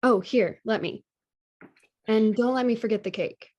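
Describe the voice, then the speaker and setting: A woman's voice: on the high side, measured pace, darkish tone, muffled recording. A woman reading a sassy, affectionate girlfriend in a naturalistic drama table read, playful and conversational. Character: sassy, affectionate girlfriend meeting boyfriend's family.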